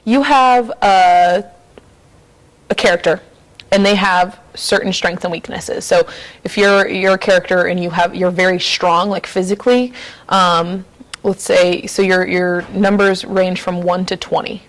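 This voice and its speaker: A woman giving an informal explanation as an interviewee, with halting, hesitant phrasing. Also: on the low side, steady tone, low-quality recording. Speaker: interviewee explaining a tabletop game.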